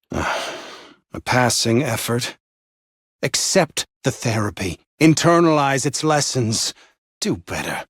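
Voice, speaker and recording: Scornful overseer from a video game, raspy, theatrical and measured, really dry recording. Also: male voice, mid-range voice, big pitch swings, fairly bright tone, no echo, heavily acted. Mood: weary, pushing. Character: stern, contemptuous male overseer of a cruel experiment.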